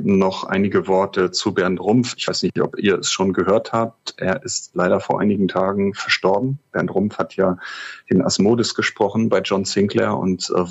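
A man co-hosting a podcast in casual talk, speaking in a measured, halting way over a remote connection. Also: sits low, breathy edge, dull audio. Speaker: podcast co-host. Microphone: remote connection, not in studio.

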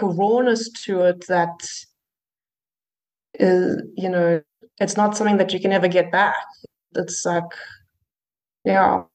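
A woman's voice: fairly low pitch, a little mellow, low-quality recording. A woman musician being interviewed, talking in a hesitant way with many fillers and restarts. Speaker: musician guest. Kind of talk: casual interview.

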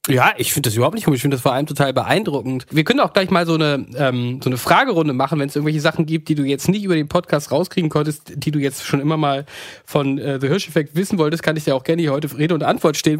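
A man's voice: on the high side, dry recording, studio quality. A man hosting a podcast interview, chatty and asking his guest questions. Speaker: podcast host. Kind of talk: casual interview.

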